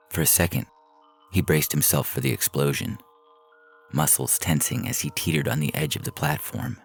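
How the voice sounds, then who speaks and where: A man's voice: very deep voice, gravelly, slightly rough. A man reading a science fiction story as narrator in a scripted audio fiction style.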